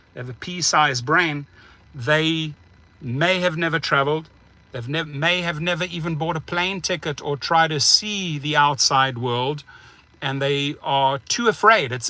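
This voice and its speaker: A man's voice: on the high side, no room sound. Emphatic man with a South African accent. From United States. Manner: emphatic, animated, gestures while talking. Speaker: rideshare driver and channel host. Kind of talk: casual vlog rant to camera. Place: parked car, indoors. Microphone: phone held at arm's length.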